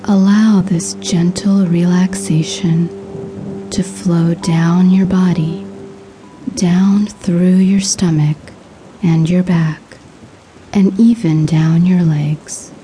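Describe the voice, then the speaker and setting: A woman narrating a scripted guided sleep hypnosis in a soothing, hushed, breathy voice with measured pauses. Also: on the low side, fairly even intonation, soft background music.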